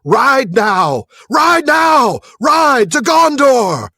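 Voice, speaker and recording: A fierce, aged warrior king as a male reader plays him bellows commands to his riders. His voice climbs high as he declaims, heroic and theatrical, in a dry, close storybook recording. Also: sing-song, very slow, creaky, sharp tone, no room sound, shouting, heavily acted. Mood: battle fury. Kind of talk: dramatic audiobook reading of fantasy.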